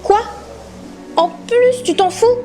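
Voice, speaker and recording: Woman playing a very high-pitched, indignant young nymph. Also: slow pace, warm tone, faint music behind. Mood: jealous rage. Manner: indignant, theatrical. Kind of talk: amateur audio drama acting.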